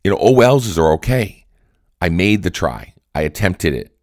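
A man with a cold and a raspy voice, giving earnest motivational talk on his own podcast. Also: low-pitched, sing-song, normal speed, dry recording, clean studio sound. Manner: earnest, repetitive, coaching tone. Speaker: podcast host.

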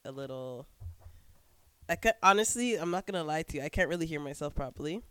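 A woman's voice: deep voice, animated, brisk, pushed and bright, smooth, dry recording. A woman co-hosting a podcast in casual chat at her co-host's home, sounding stuffy from a cold and talking candidly with many restarts. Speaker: podcast co-host.

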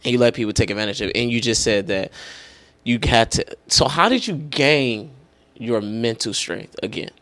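A man's voice: medium pitch, normal speed, fairly bright tone. A man hosting a casual podcast interview, asking questions in a laid-back way. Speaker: podcast host.

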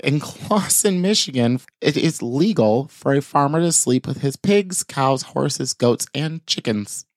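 A man's voice: mid-range voice, a little slow, few pauses, no echo, clean studio sound. A man co-hosting a comedy podcast, chatting casually and acting out silly bits with frequent laughter. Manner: animated, laughs often, acts out silly voices. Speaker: podcast co-host.

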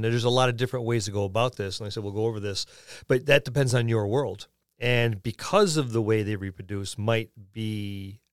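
A man's voice: fairly low pitch, smooth, dry recording. Man musing casually, in a dry room. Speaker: podcast co-host. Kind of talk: casual tabletop gaming discussion.

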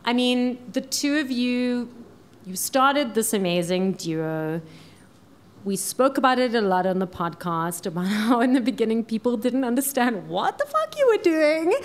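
A bubbly woman hosts a podcast in a loose, rambling chat, laughing often. Her voice sits a little high for a woman. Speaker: podcast host. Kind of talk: casual chat.

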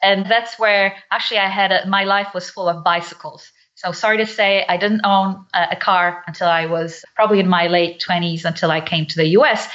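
A woman's voice: medium pitch, steady tone, a little fast, few pauses, a bit bright. A woman from Poland, a company founder, answering interview questions thoughtfully with some hesitations. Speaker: company founder and CEO guest. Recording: dull lo-fi line.

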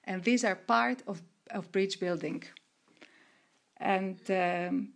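A middle-aged woman from Greece, answering interview questions in a measured way with frequent restarts and fillers. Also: medium pitch. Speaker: guest, researcher and coach of women entrepreneurs.

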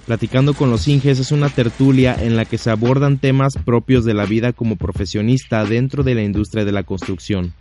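A man from Mexico, an engineer hosting a podcast, introducing the show and asking his guest questions in a relaxed, friendly way. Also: fairly low pitch, slight background noise. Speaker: podcast host. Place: at home, remote call.

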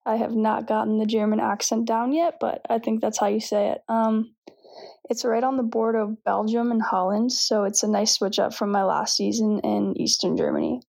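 A young Canadian woman gives a cheerful, self-mocking update about her playing season. Her voice sits a little high, with a gravelly fry at the ends of her phrases. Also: fairly even intonation, moderate pace, darkish tone. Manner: upbeat, self-deprecating. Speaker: professional volleyball player. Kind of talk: scripted update to camera.

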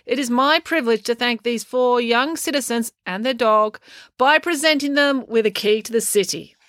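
A woman's voice: a little high, normal speed, a bit bright, no room sound, clean studio sound, voice pushed up, lightly acted. A woman voicing a long-winded, pompous female small-town mayor in a droning speechmaking manner for a story.

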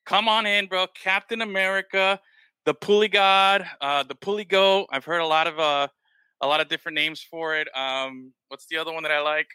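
Casual male podcast host, very bright and forward tone, dry close sound, rambling delivery. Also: fairly high pitch, steady tone, normal speed, clear, resonant tone, no room sound. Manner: loose, rambling, heavy on "man" and "bro". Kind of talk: casual chat.

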